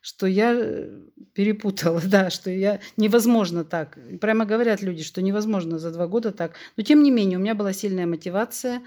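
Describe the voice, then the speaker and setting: A woman around sixty, originally from Russia, talks as a podcast guest. She is frank and animated and speaks openly in an easygoing conversational way. She often acts out dialogue while telling anecdotes. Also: medium pitch, a little fast. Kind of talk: candid conversational storytelling.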